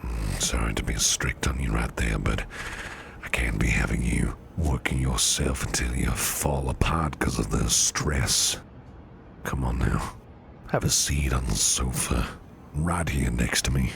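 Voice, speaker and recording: A man playing a flirtatious, protective businessman boss in a soft, slow, soothing ASMR roleplay, with a deep, gravelly near-whisper. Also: slight background noise, full character voice. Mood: caring, insistent. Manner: slow, soothing, teasing, repeats phrases softly.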